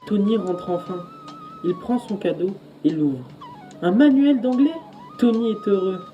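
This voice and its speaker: A woman's voice: mid-range voice, huge pitch swings, a little slow, lo-fi sound, soft background music. An actress playing a storyteller who recounts a young boy's day in scripted dramatic narration. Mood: narrating.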